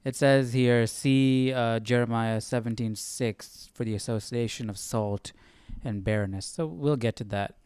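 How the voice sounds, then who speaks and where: A man's voice: medium pitch, steady tone, a little slow, a little mellow, clear, resonant tone. A man hosting a Bible study livestream, thinking aloud in a casual, conversational way and reacting as he goes.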